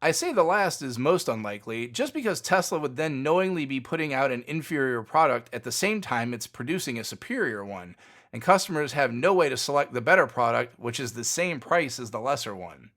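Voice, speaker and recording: A man's voice: mid-range voice, animated, a little fast, fairly bright tone. A man hosting a podcast, reading fluent, opinionated scripted commentary on company news. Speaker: podcast host.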